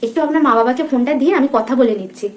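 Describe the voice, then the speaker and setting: A young woman with a high-pitched voice speaks with a West Bengal Bengali accent. She is polite but keeps pushing persuasively, as if on a staged prank call. The room adds clear echo. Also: very fast. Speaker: prank caller, vlogger. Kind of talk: staged phone prank conversation.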